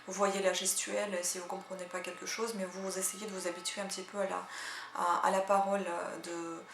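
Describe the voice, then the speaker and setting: A woman's voice: mid-range voice, flat delivery, fairly quick, fairly bright tone, a little hiss or hum. Chatty woman. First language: Russian. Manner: chatty, hesitant, full of "euh" fillers. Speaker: language-learning channel host. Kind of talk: casual spoken language tips and read-aloud practice. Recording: echoey room.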